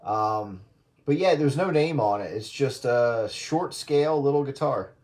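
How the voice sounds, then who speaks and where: A man with a medium-pitched voice talks casually on a radio round table. He reminisces in an easy conversational style. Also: lively intonation, unhurried, darkish tone. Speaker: radio operator.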